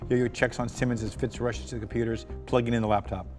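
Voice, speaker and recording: A man's voice: medium pitch, flat delivery, fast, a little mellow, faint music behind. A man reading screenplay directions aloud as narrator at a table read.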